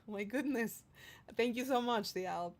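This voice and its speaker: A woman's voice: a little high, slow pace, noisy background. A woman hosting a livestream, reading out viewer comments and chatting casually in a playful, affectionate way. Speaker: streamer hosting a livestream, singer-songwriter.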